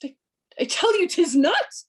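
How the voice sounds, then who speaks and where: A woman playing an aggrieved, gullible gentleman suitor in a Shakespearean radio play, indignant and petulant. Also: very high voice, very animated, unhurried, heavily acted.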